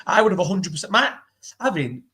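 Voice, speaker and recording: A man in his early thirties hosts a casual chat. He talks fast and a little high, swearing freely and firing off question after question in a blunt, matey way. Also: sing-song, bright, forceful tone. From England. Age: 30s.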